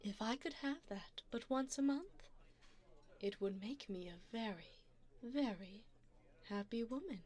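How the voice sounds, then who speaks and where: A woman playing a wealthy, seductive vampire in an ASMR roleplay, doting yet predatory, with a poised, formal, teasing and breathy delivery. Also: a little high, animated, unhurried, darkish tone. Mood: seductive, hungry. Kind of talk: ASMR roleplay, addressing the listener.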